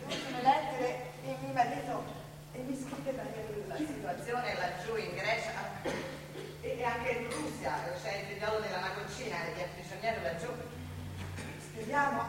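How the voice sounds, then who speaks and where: A woman's voice: fairly high pitch, moderate pace, lightly acted. An actress playing a weary, fretful working-class Florentine mother in a wartime stage drama, with a Tuscan accent and a plaintive, rambling way of talking. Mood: worried, sad. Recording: echoey noisy hall, miked from far off.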